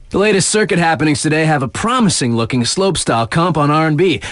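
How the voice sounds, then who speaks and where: A man's voice: mid-range voice, no echo, clean studio sound. An actor playing a laid-back, hip male radio DJ in a video game, delivering scripted snowboard news with casual, slangy asides. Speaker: radio DJ announcer.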